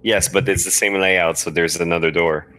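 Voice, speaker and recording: A man with a distinctly low voice takes part in a story game as a player. His talk is casual and conversational, a little halting, with repeated phrases. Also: fairly even intonation, sharp tone. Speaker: guest player. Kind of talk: casual chat while playing a game.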